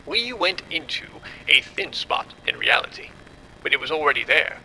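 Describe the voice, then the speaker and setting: A man acting as a cold, authoritative scientist in a horror audio drama. His gravelly, very forceful voice is grave and deliberate, with a faint low rumble behind it. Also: sing-song, exaggerated voice, rough recording. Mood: grave realization. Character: cold, commanding male doctor covering up a disaster. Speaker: lead scientist.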